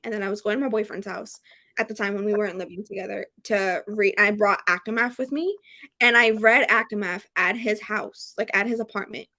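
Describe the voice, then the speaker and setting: A woman hosting a book readalong, chatting casually and excitedly, with an emphatic, gushing way of repeating herself. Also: fairly high pitch. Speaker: host of a book readalong live stream.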